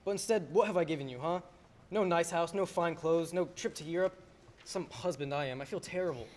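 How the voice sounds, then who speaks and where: A man's voice: a little high, a little fast, a little mellow, natural voice. A young man playing an earnest, frustrated young husband in a radio play, often hesitant and stammering. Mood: dejected. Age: young adult. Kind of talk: filmed radio play acting. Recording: faint background noise.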